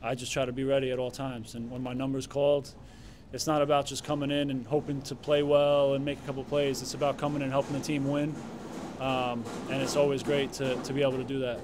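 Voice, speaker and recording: A man in his thirties, a goalkeeper answering reporters' questions at a press conference in a relaxed way, with many fillers and restarts. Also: medium pitch, fast, background noise. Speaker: soccer goalkeeper. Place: stadium press room.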